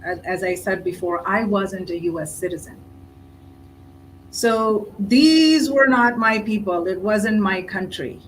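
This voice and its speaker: A woman's voice: medium pitch, a little slow, a little hiss or hum, poor audio. A woman who grew up in India, speaking earnestly and reflectively as a participant in a panel discussion.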